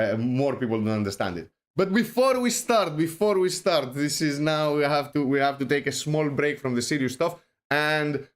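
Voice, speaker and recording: Rambling man with a thick Greek accent. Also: fairly high pitch. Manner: rambling, many fillers and restarts; joking, self-deprecating. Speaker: host. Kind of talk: casual livestream talk.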